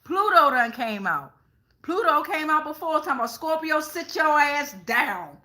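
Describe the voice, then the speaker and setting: High, bright American woman reading tarot. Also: very animated, moderate pace. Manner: sassy, freely swearing, emphatic. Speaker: tarot reader hosting a live stream. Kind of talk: casual live tarot reading.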